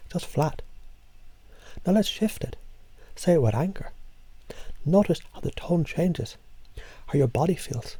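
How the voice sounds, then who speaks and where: Man, voice coach, calm half-whisper, gravelly, dry close sound. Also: on the high side, no echo. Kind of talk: guided voice exercise, slow and hypnotic.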